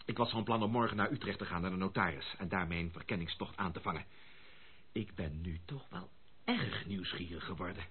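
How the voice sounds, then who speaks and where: Through a thin, phone-like old radio recording comes an eager young gentleman bachelor. His delivery is theatrical and very lively, swinging widely in pitch. The voice is slightly husky and the phrasing genteel and exclamatory. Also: fairly quick, heavily acted. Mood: eager, courteous. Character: eager young gentleman bachelor, excitable, amused, curious about his bride. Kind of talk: Dutch radio play acting.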